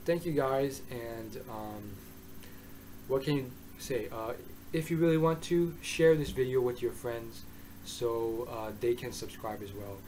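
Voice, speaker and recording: Man, hoarse, mid-pitched, excitable, rambling, casual review monologue. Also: a little slow, slight background noise, low-quality recording. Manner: excitable, rambling, with many restarts. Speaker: manga reviewer.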